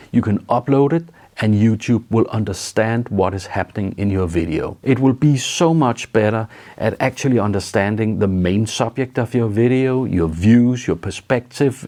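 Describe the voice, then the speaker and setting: Low-voiced Danish man explaining. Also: a little mellow. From Denmark. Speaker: YouTube creator. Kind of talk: explaining his workflow to camera.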